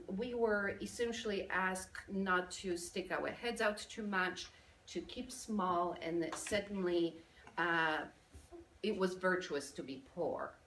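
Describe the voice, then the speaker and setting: A woman from Poland with a Polish accent, giving a lively motivational business talk to a live audience. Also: mid-range voice. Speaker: speaker. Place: event room with audience. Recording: echoey room from a distance.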